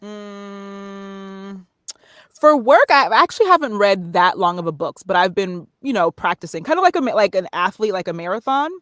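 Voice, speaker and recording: A woman's voice: medium pitch, lively intonation, normal speed, fairly bright tone, dry recording, clean studio sound. A woman speaking casually as an interview guest, explaining things in a conversational way. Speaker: podcast guest, voice-over artist and narrator.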